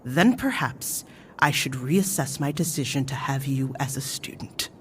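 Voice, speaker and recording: A woman acting a stern, commanding mentor in an audio drama, speaking in a measured, reproachful way. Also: extremely low pitch, expressive, slight background noise. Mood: cold, disappointed.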